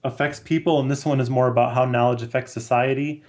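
A man in his thirties chatting casually as a guest, explaining in a halting way with many fillers. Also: medium pitch, fairly even intonation, darkish tone. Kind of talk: casual book recommendation chat. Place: small room at home, on a video call.

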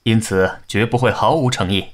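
A man's voice: fairly low pitch, normal speed, natural voice. A man playing a courteous, earnest negotiator of ancient China in a costume audio drama, formal and serious.

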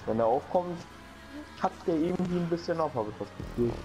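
A man's voice: on the high side, lively intonation, fairly quick, music in the background, rough recording. A man co-playing a racing game, laid-back and teasing, laughing often. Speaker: co-player. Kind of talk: casual let's-play chat.

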